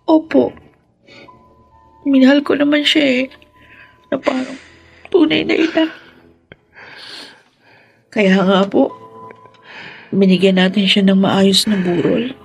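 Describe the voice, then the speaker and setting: A woman's voice: on the high side, steady tone, takes it slowly, vocal fry, pauses often, breathy edge, faint music behind, heavily acted. An actress playing a tender, emotional young woman, a loving granddaughter, in a Filipino radio drama. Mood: grieving, comforting. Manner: emotional, earnest, respectful.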